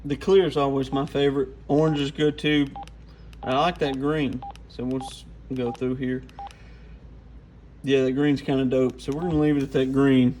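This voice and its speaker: A man's voice: mid-range voice, flat delivery, normal speed, a little mellow, slight background noise, low-quality recording. A man giving an informal, off-the-cuff hands-on product walkthrough. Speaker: radio gear reviewer.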